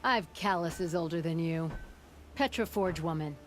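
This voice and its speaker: Woman playing a brash, low-voiced forge boss. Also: measured pace, slight background noise, lightly acted. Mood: wry, proud. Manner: blunt, wry, folksy boasting. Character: brash, proud older forge boss woman, gruffly humorous. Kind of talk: video game character acting. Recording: music under the voice.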